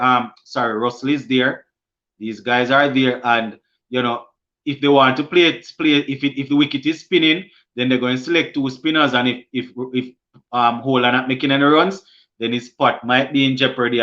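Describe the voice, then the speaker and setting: A man's voice: medium pitch, pushed and bright, dull audio. Jamaican man chatting on a live stream. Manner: halting, many restarts and fillers. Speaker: host of a cricket live stream. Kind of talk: casual live-stream chat reading comments.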